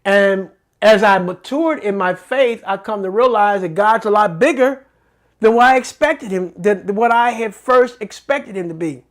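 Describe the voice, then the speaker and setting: A man's voice: sits high, normal speed, fairly bright tone. A man hosting a faith talk show, teaching in a sermon-like way with emphatic repetition and earnest personal testimony.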